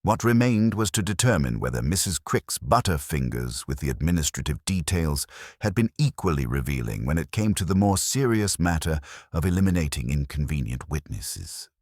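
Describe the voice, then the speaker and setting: A man reading scripted narration of a mystery story in a measured, formal and wry storytelling manner. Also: extremely low pitch, lively intonation, darkish tone, no room sound, studio quality.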